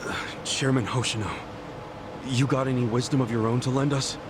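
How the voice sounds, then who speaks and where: A man's voice: medium pitch, fairly even intonation, a little fast, close to an everyday voice. An actor playing a hot-blooded, earnest man in a video game, speaking bluntly and passionately in slangy English over background noise. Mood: respectful. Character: hot-blooded earnest man rallying reluctant underworld leaders.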